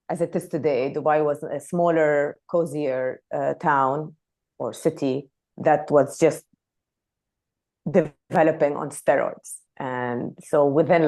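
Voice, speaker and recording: A woman from Lebanon who grew up speaking Arabic, talking as a podcast guest in a reflective, soft-spoken way. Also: sits low. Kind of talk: casual conversational interview.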